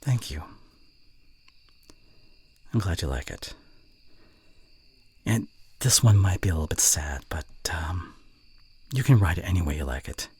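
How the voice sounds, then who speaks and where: A young man playing a teasing, fond college student and voice actor in an intimate ASMR roleplay, with a breathy voice that often drops toward a whisper and halting, playful delivery. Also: low-pitched, expressive, a little mellow, full character voice. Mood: gently pleading. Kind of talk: scripted ASMR roleplay addressed to the listener.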